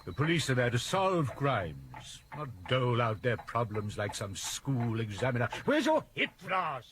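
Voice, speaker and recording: An actor playing a grandiose, self-pitying Victorian stage star in a period radio drama, with a British accent and a theatrical, world-weary delivery. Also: male voice, medium pitch, huge pitch swings, measured pace, fairly bright tone, slight background noise. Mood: irritable.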